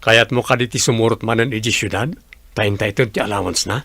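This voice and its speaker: A man's voice: lowish voice, brisk, fairly bright tone, no echo. A man with an Ilocano accent playing a devoted, generous young village suitor in a radio drama. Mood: persuasive.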